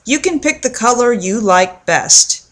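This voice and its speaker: A woman reading the part of a kind, patient mother in a children's storybook, gentle and reassuring. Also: a little high, animated, a little slow. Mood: encouraging.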